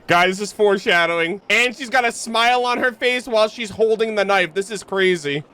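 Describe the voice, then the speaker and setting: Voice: medium pitch, fairly quick, pushed and bright, slight background noise. A streamer giving casual, playful live commentary on a video game in slangy, sweary language. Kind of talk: casual livestream commentary with joking. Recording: faint game music behind the voice. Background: video game music.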